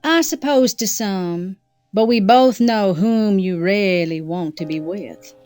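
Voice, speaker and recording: A woman's voice: mid-range voice, slow pace, a little mellow, close to an everyday voice. An actress plays a disdainful rich woman in a soap drama. Her mid-range voice is dry and sarcastic, proud and cutting. Faint music sits behind it. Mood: scornful. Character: caustic, disdainful wealthy sister, jealous and proud. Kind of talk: audio soap drama acting.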